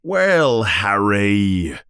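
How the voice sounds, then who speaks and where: A man voicing a booming giant gamekeeper in a storybook, hot-tempered yet kindly, with an emphatic, exclaiming way of talking. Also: big pitch swings, extremely slow, gravelly, a bit bright, clean tone, no room sound, studio quality, full character voice. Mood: friendly.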